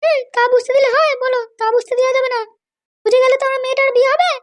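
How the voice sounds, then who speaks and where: A shrill village housewife, speaks in an extremely high cartoon voice. She is a quick-witted, eager politician's wife. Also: fairly even intonation. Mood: conspiratorial. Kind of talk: comic cartoon dubbing.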